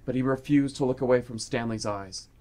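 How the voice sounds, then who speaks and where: A man's voice: mid-range voice, brisk, a little mellow. A man reading scripted, dramatic story narration for a radio play.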